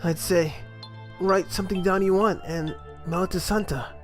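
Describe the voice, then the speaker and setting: A man's voice: high-pitched, fairly even intonation, moderate pace, soft background music, natural voice. A man voicing an aloof, wistful young older brother in a game fan dub, talking in a casual, reflective way.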